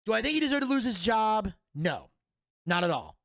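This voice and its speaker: A man's voice: sits high, sing-song, moderate pace, creaky, fairly bright tone, no room sound, clean studio sound. A man in his thirties hosting a solo podcast, giving a blunt, profane and opinionated review. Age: 30s. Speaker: podcast host.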